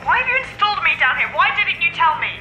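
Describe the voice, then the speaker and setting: Suspicious, frightened wife, high animated voice, terse delivery, thriller audio drama, heavy noise and clicks. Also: female voice, fast talker, lo-fi sound. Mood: accusing. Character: frightened, suspicious pregnant young wife, unravelling.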